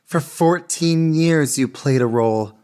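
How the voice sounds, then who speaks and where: A devoted noblewoman in a period radio drama. Her voice is strikingly deep for a woman. She speaks formally and earnestly, carrying long grief and love. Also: a little slow, warm tone, clear, resonant tone. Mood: dawning anguish. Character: grieving, devoted noblewoman, long-time beloved of a poet. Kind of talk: radio theater acting.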